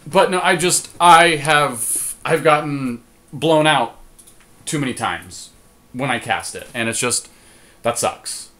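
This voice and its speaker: A man's voice: on the high side, slow, fairly bright tone. A man co-hosting a podcast, casually commentating on a card game draft and explaining his choices as he thinks aloud. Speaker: podcast co-host.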